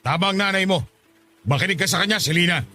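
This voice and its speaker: A furious middle-aged father in a radio drama. He scolds in a rapid, raised-pitch voice, protective and indignant, over faint music. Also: animated. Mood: angry, protective. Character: angry, protective father, soon a grandfather. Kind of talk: Tagalog radio drama acting.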